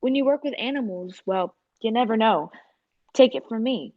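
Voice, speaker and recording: A woman's voice: fairly high pitch, normal speed, highs cut off, natural voice. A woman playing a snippy, defiant circus animal trainer in a 1940s-style radio drama. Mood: defensive.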